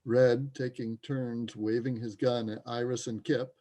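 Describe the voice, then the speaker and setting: A man's voice: medium pitch, flat delivery, measured pace, mellow. A man narrating an amateur play reading, delivering stage directions as scripted narration.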